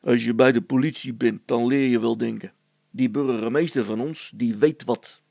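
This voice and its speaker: Man playing a creaky, gossipy village policeman. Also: medium pitch, fairly even intonation, moderate pace, darkish tone, no room sound. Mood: condescending. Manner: hesitant, confiding, bossy, stammering over words.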